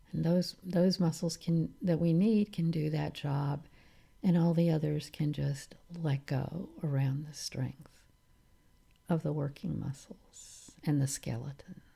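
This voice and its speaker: A woman leading a guided meditation in a calm, soothing manner, leaving long pauses between phrases. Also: deep voice, gravelly, husky. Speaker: meditation teacher.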